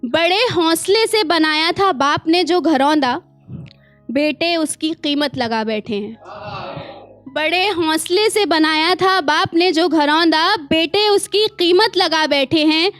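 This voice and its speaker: An Indian woman poet performing her own spoken-word verse live, expressive and deliberate, with asides to her listeners and lines repeated for emphasis. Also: extremely high pitch, faint music behind. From small town in India.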